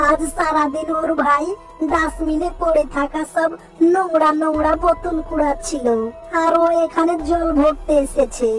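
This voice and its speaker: A woman's voice: sits high, darkish tone, low-quality recording. A woman voicing a snobbish village woman who scolds harshly and contemptuously.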